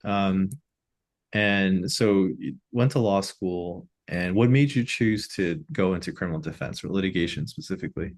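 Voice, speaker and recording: A man's voice: sits low, moderate pace. A man hosting an interview, asking curious questions between brief affirming interjections. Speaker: interview host. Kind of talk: casual interview.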